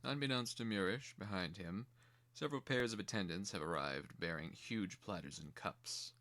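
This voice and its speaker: A man's voice: sits low, moderate pace, a bit bright. A man giving scripted dramatic narration as the narrator of a fantasy screenplay reading.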